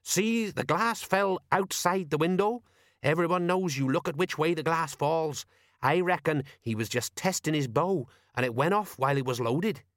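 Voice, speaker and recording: A man's voice: fairly high pitch, moderate pace, a bit bright, dry recording, studio quality, voice pushed up, lightly acted. A man voicing a pompous, self-important, dim-witted veteran police sergeant who lectures in colloquial speech, in an audiobook. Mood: pleased, pompous.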